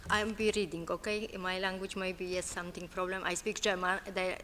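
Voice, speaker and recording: A woman who is not a native English speaker asks a council a question at a public meeting, halting and searching for words. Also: fairly low pitch, level tone, bright, forceful tone. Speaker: resident asking a question. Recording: long hall reverb with faint noise.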